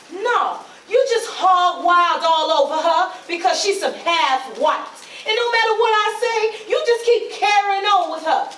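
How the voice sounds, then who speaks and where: A woman's voice: very high-pitched, lively intonation, a little slow, a bit bright, full character voice. A woman playing a jealous, outspoken young woman in love in a staged play, speaking heated Southern folk dialect. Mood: indignant, defiant. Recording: roomy, distant mic, faint noise.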